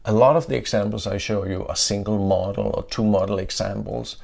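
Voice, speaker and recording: A middle-aged man with a Danish accent giving a conference talk. He often checks in with a questioning "yeah?" and pauses on "uh" fillers. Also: fairly low pitch, fairly even intonation, gravelly, a little mellow. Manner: adds "yeah?" to check in with listeners; frequent "uh" fillers and restarts. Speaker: conference speaker. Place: conference stage.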